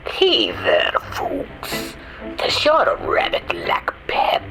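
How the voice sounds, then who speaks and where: A man voicing a hushed snake-oil salesman pitching a rabbit tonic in a 1940s-style radio ad parody, speaking low and drawn out. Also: wildly swooping pitch, drawn-out words, over music, heavily acted, rough recording. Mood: sly.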